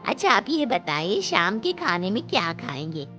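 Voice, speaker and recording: A woman voicing a gentle, eager-to-please young man with a polite, coaxing manner in a cartoon story. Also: medium pitch, big pitch swings, normal speed, lo-fi sound, faint music behind. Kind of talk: cartoon moral-story acting.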